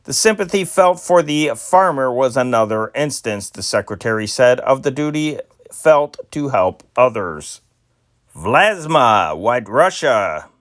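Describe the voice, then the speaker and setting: A man's voice: mid-range voice, lively intonation, a little slow, no room sound, studio quality. A man reading old newspaper stories aloud in an amateur style, sometimes stumbling and restarting words. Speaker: news reader.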